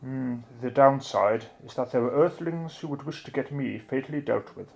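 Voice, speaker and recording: Creaky-voiced British inventor, played by a man, eccentric and self-assured. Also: medium pitch, moderate pace, natural voice. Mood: grave. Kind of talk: audio drama acting.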